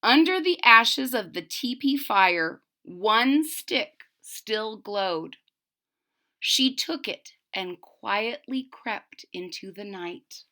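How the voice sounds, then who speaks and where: A woman reading a children's story aloud expressively and deliberately, pausing to explain it simply to young listeners. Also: medium pitch, lively intonation, bright, forceful tone. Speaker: storybook reader.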